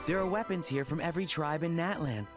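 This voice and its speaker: Man acting an earnest, high-voiced scholar. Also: fast, over music, lightly acted. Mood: studious. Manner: precise, bookish. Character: mild, earnest, enthusiastic male scholar. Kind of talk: video game voice acting.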